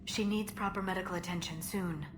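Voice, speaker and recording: An actress playing a gentle, grave alien scientist in a video game, speaking in a measured, earnest, precise way. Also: female voice, lowish voice, steady tone, noisy background, lightly acted. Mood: grave, concerned. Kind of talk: video game cinematic acting.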